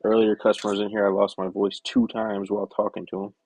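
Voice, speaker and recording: A young man chatting casually on a work-shop live stream, rambling and correcting himself, his voice hoarse and scratchy from a cough. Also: on the low side, little pitch movement, a little fast, dry recording. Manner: rambling, self-correcting, soft-spoken asides. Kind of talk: casual live-stream chat while working.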